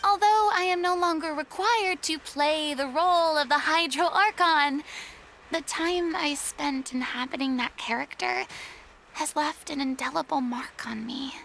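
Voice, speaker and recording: An actress playing a proud, theatrical young former star in a video game, with a haughty, dramatic and formal delivery. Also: female voice, high-pitched, unhurried, creaky, fairly bright tone, a little hiss or hum, full character voice, poor audio. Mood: resigned, firm. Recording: background music under the voice.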